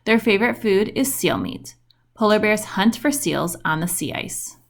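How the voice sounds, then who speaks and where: A woman reading a children's book aloud in an expressive storytelling style with questioning intonation. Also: mid-range voice, normal speed, gravelly.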